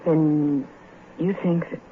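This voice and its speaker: An American actress playing an anxious, haunted wife in an old radio horror drama, with dramatic delivery. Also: female voice, deep voice, fairly even intonation, slow. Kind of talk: 1940s-50s American radio horror acting. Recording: noisy, muffled old radio recording.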